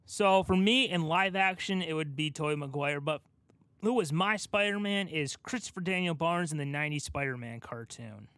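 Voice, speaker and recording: A man with a slightly high voice speaks as a podcast co-host. He is informal and opinionated, in easy pop-culture chat. The sound is dry, with very little room. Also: moderate pace, a bit bright, clean tone, no room sound. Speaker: podcast co-host asking questions.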